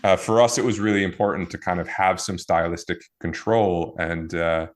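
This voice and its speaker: A man with a very low voice walks through how he builds things, in a relaxed podcast chat. He talks thoughtfully, often pausing on fillers and restarting mid-word. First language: not Portuguese. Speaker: guest, e-commerce marketer. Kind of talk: casual podcast conversation.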